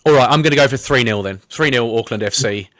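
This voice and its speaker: A man's voice: mid-range voice, quick pace, fairly bright tone, no echo. Man, podcast host, casual chat, upbeat, dry close sound. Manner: chatty, upbeat wrap-up with lots of "lovely".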